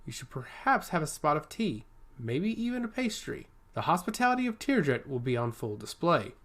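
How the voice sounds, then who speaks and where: A man voicing a genial old robed temple liaison with a booming, courteous, unhurried delivery. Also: very animated, a bit bright. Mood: gently insistent.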